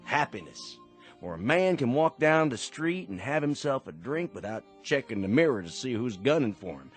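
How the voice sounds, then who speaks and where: A man acts out a garrulous Old West saloon keeper for a radio drama. His American voice is medium in pitch and swings widely up and down, folksy and earnest, with music underneath. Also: sing-song, normal speed, natural voice. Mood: proud, earnest.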